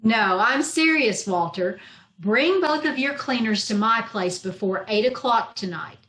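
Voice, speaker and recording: A woman's voice: a little high, animated, measured pace, fairly bright tone, echoey room, a little hiss or hum. A woman playing a dry-witted, sarcastic schoolteacher in old-time radio comedy style, recorded over a video call in a room. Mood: dry, sardonic. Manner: wry, sarcastic comebacks. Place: echoey room, remote video-call performance.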